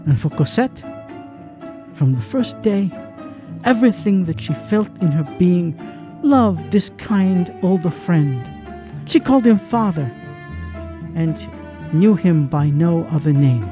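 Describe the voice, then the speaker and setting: A man narrating a drama from a script, with measured, solemn storytelling. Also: high-pitched, animated, mellow, soft background music.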